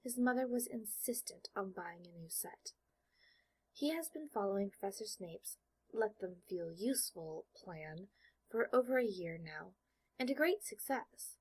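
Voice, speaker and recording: A woman with a slightly husky voice narrates a work of fiction. She reads scripted prose in the present tense, as an audiobook storyteller does. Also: medium pitch, expressive, a little slow, a little mellow.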